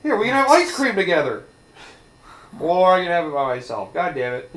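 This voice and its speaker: A man's voice: high-pitched, sing-song, a little fast, a bit bright. A man giving casual, sweary co-commentary on game cutscenes, exclaiming and mocking. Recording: echoey room, faint game music.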